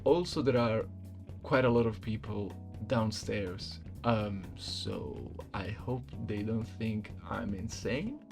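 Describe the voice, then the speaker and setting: A soft-spoken man talking casually and haltingly to his viewers as an amateur voice actor presenting a video. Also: fairly low pitch, creaky, soft background music.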